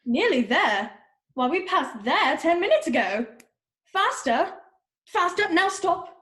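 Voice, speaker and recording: A woman's voice: extremely high pitch, big pitch swings, slow pace, a bit bright, roomy sound, full character voice. A woman playing an imperious, scolding queen in a children's readers' theater performance. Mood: urgent, commanding. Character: bossy, haughty queen ordering a young girl about.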